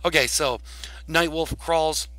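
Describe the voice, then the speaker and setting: A man narrating a text roleplay aloud as host, in a halting read-aloud delivery with casual asides. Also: on the high side, fairly even intonation, sharp tone, dry recording. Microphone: voice chat over computer mic.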